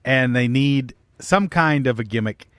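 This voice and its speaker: A man's voice: medium pitch, a little slow, a bit bright, no room sound. A man casually telling a long comic story, swearing freely and acting out bits of dialogue. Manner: profane, acts out quoted dialogue. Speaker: comedy podcast storyteller.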